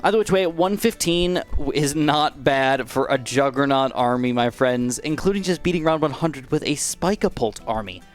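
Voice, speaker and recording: A young man giving casual, excitable gameplay commentary, his voice a bit dry and raspy, swinging from upbeat outbursts to mumbled asides. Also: mid-range voice, moderate pace, faint music behind. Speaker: gaming YouTuber.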